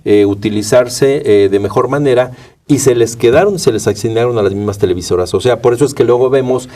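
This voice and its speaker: A man with a Mexican Spanish accent answering conversationally in a studio interview, halting and repeating words as he goes, picked up a little away from the microphone. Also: medium pitch, dark tone, recorded from a distance. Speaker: interview guest, media rights advocate.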